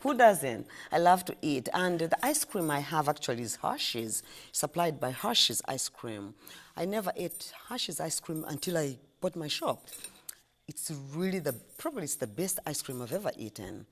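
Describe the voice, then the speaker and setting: Middle-aged woman, Ugandan accent, low-pitched, highly animated intonation, chatty interview guest, repeats herself for emphasis. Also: normal speed. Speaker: interview guest, ice cream shop owner. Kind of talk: casual interview answers.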